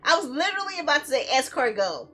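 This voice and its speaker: High-pitched, animated woman streamer. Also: quick pace. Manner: playful, giggly asides. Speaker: streamer playing a visual novel. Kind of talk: lively game stream commentary, reading story text aloud.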